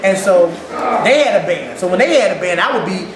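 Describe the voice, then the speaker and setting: Animated Ghanaian-born percussionist, echoey room. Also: male voice, high-pitched, brisk, crowd noise. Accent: St. Louis. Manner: animated, laughing, repeats words. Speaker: percussionist guest. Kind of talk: casual interview. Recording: echoey room with loud background noise.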